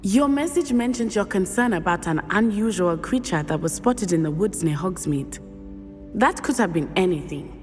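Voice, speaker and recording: A woman's voice: medium pitch, animated, normal speed, darkish tone, big-room sound, faint music behind, lightly acted. A woman playing an earnest, independent-minded schoolgirl witch in a game, articulate. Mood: frustrated, pleading. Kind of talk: game cutscene dialogue.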